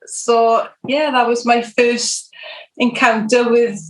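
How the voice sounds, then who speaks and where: Welsh woman guest, highish voice, meandering storyteller, roomy echo on a video call. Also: little pitch movement, takes it slowly. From South Wales. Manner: rambling storyteller with many ums. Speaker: podcast guest. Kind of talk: telling her faith testimony. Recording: echoey room over video call.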